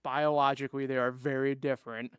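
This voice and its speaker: A young man chats casually about sports with a friend, his voice smooth and resonant. He strings his thoughts together loosely with fillers and teasing. The sound is dry and close. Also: mid-range voice, level tone, brisk, a bit bright, no echo. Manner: chatty, lots of "like" and fillers, teasing. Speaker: podcast co-host.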